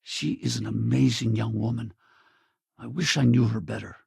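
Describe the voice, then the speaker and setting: An actor playing a rugged, wry space pilot in a video game, gravelly and husky, talking in a casual, blunt way. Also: male voice, medium pitch, fairly even intonation, normal speed, dry recording, clean studio sound, full character voice. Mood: regretful. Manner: casual, blunt, plain-spoken. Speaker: video game companion character. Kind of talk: scripted game dialogue and combat barks.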